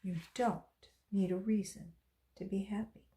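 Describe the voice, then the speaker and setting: A woman reading a storybook aloud to children as a volunteer, pausing to explain things to young listeners. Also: mid-range voice, fairly even intonation, mellow.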